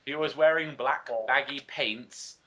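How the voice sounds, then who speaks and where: A man's voice: medium pitch, steady tone, unhurried, sharp tone, rough recording. A man doing a theatrical comic reading aloud among friends, stumbling over odd misspellings as he goes. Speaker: player in a dramatic reading game.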